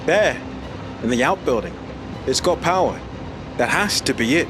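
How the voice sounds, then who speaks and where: A man playing a calm, even-voiced, eccentric man in a dark fantasy audio drama. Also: fairly high pitch, normal speed, pitched above the performer's own voice, lightly acted. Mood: urgent. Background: music.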